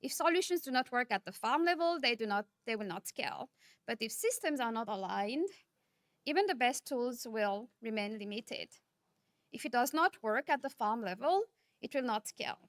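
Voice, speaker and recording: High-pitched woman giving a keynote. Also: animated, moderate pace, no echo, clean studio sound. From Nepal. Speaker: keynote speaker. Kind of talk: conference keynote speech.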